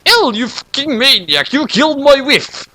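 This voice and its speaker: A man voicing an excitable middle-aged policeman father who shouts in outrage. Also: extremely high pitch, normal speed, no room sound, pitched above the performer's own voice, full character voice. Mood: outraged.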